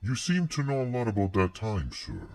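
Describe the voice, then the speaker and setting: Man acting a deep-voiced, deferential military underling. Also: huge pitch swings, normal speed, darkish tone, dry recording. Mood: respectful, inquiring. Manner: formal, deferential. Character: dutiful, deferential male military subordinate of a crime lord. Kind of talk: scripted sci-fi audio roleplay acting. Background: background music.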